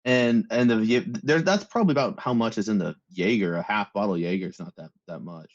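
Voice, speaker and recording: A man's voice: lowish voice, fairly quick. A man playing a tabletop game, asking practical questions about the details. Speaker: player. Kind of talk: casual tabletop game chat. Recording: dull, muffled sound.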